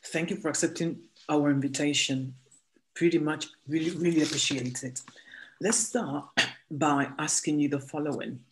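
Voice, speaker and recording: An interview host speaking at a mid-range pitch. The host reads an introduction slowly and deliberately, then asks questions with restarts and hesitation. The delivery is plain and conversational. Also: fairly even intonation, noisy background. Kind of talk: interview hosting.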